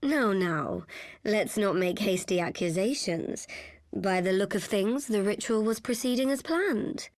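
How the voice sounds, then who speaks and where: A woman playing a calm, scheming figure in a video game cutscene, placating and formal in an archaic way. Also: mid-range voice, unhurried, dry recording, clean studio sound, natural voice. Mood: placating, composed. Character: calm, smooth-talking female schemer.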